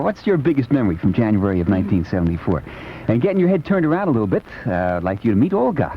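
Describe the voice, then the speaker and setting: An American man works as a late-night radio DJ, his pitch swinging freely as he chats. He is playful and rambles conversationally. The sound is a dull, noisy 1970s AM aircheck. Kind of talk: casual 1970s music radio chat. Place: radio studio.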